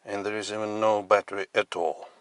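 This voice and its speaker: A man giving a casual product demonstration as a collector, speaking in halting, piecemeal phrases. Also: fairly low pitch, little pitch movement, slight background noise. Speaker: radio collector presenting his collection. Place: inside a parked car.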